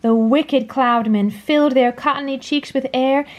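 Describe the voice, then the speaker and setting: A woman reading a children's story aloud in a dramatic, deliberate storytelling manner. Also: high-pitched, fairly even intonation, a little mellow. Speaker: storybook reader. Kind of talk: scripted children's story read-aloud, expressive.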